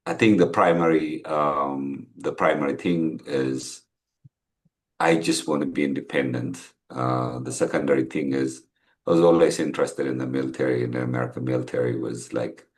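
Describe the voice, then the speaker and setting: Middle aged guy w ethiopian accent, super deep voice, chatting in an interview, kinda halting and thoughtful. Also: steady tone. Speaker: podcast guest, entrepreneur. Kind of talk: casual interview, telling life story.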